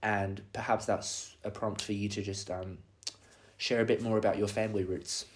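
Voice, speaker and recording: A man's voice: fairly low pitch, little pitch movement, normal speed. Young man hosting a chatty interview. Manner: friendly, chatty, laughs between questions. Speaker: podcast host. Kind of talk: casual interview.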